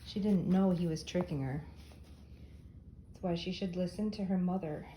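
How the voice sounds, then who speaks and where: Older woman storyteller, very dark mellow tone, reading a children's tale aloud. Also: on the low side, moderate pace, slight background noise, rough recording.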